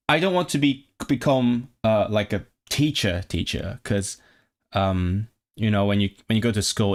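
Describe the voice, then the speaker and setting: A young man streaming live, chatting casually with viewers about his tutoring work, stopping and restarting as he thinks aloud. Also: fairly low pitch. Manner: hesitant, many restarts and fillers, thinking aloud.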